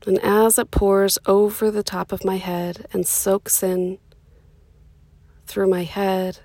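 A woman leading a guided meditation in a soothing, unhurried way, with pauses between short phrases and a soft voice that turns breathy at times. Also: medium pitch, level tone, dry recording.

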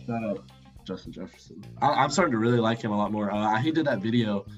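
A man's voice: lowish voice, fast talker. A man hosting a podcast, chatting casually and debating sports with friends. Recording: slightly dull audio, faint music underneath.

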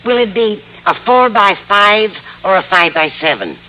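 A woman's voice: medium pitch, expressive, moderate pace, pushed and bright. An actress playing a kindly, innocently curious older woman in an old radio comedy, chatting in a doting way. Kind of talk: 1940s-50s radio sitcom acting. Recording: muffled, hissy vintage radio recording.

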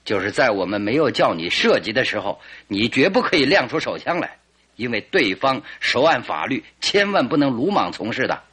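An actor playing a composed, confident and teasing detective in a radio drama, with a deliberate, commanding manner and a husky voice. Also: male voice, mid-range voice, big pitch swings, a bit bright. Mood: firm, cautioning.

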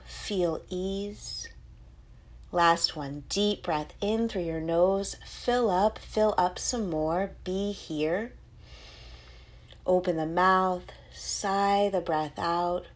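Woman doing guided meditation, really slow and soothing, slightly low voice, short phrases w pauses. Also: darkish tone. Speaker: meditation guide and podcast host.

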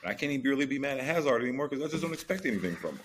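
A man's voice: mid-range voice, very animated, rapid-fire, breathy edge. A man co-hosting a sports podcast, chatting casually and slangily about football, repeating phrases for emphasis.

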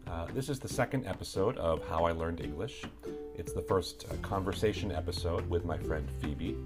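A man hosting a casual interview podcast, talking in a relaxed, hesitant way with many fillers. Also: sits low, normal speed, music in the background. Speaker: podcast host.

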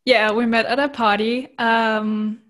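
A woman's voice: a little high, level tone, takes it slowly, fairly bright tone. A woman in her twenties with a Danish accent, co-hosting a podcast and chatting casually over a phone call, giggly and a little self-conscious. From Denmark. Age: 20s. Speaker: podcast co-host. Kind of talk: casual chat.

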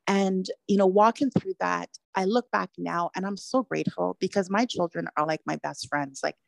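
Woman from jamaica, slightly low voice, earnest reflective storytelling, dry close sound. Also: moderate pace, vocal fry, dry recording, low-quality recording. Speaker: interview guest. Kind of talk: personal testimony in an interview.

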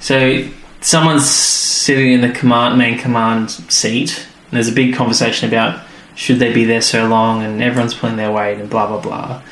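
A man's voice: medium pitch, little pitch movement, moderate pace, fairly bright tone. A man co-hosting a podcast, recapping episode scenes in an easygoing, casual way. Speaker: podcast co-host. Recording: echoey room, faint background noise.

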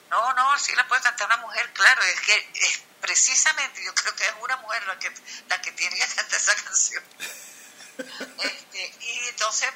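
A woman singer from Venezuela tells a story in a relaxed, rambling way, stumbling over her words and restarting often. Her voice is very bright with a husky grain. The sound has the thin quality of an online call. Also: mid-range voice, low-quality recording. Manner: rambling, many restarts and fillers. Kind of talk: casual storytelling in an online chat.

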